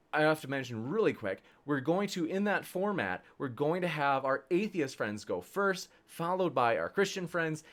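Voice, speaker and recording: An enthusiastic man moderating an online debate. His voice sits fairly high and swings up and down a lot as he chats directly to viewers in an upbeat introduction. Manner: enthusiastic, chatty, addresses audience directly.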